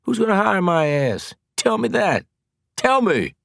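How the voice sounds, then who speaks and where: A man's voice: high voice, big pitch swings, a little slow, creaky, a bit bright, dry recording, voice pushed up, full character voice. A bitter, angry convict who was once a football star , acted out by a man. He talks bluntly in slang, full of frustration at being wronged, as dramatic audiobook dialogue. The sound is dry. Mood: angry, frustrated.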